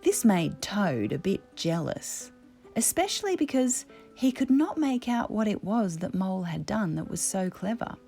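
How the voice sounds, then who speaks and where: A woman narrating a children's story as a storyteller, in a measured, expressive way. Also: mid-range voice, vocal fry, soft background music.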